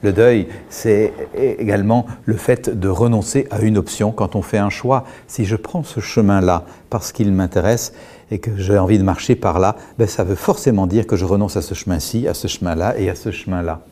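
A middle-aged man, speaking as a guest in a calm, measured, reflective way, pausing often and restarting his sentences. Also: fairly low pitch. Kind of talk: reflective explanatory talk.

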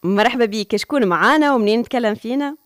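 Female Tunisian call-in host. Very quick talker, dry studio sound. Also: a little high, no room sound. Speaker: radio call-in show host. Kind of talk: live radio hosting, chatting with callers.

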